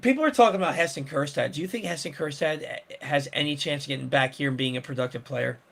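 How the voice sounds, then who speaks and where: A man's voice: on the high side, fast talker, vocal fry, fairly bright tone. A man hosting a casual sports talk show, rambling with many restarts and fillers. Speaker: sports podcast host.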